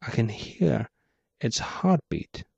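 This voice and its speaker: A man voicing a theatrical, gloating clockwork gangster boss with taunting, drawn-out phrasing in a noir audiobook. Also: mid-range voice, animated, vocal fry, a little mellow, husky, no echo, muffled recording, full character voice. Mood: wistful wonder. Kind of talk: dramatic audiobook character voice, noir pulp.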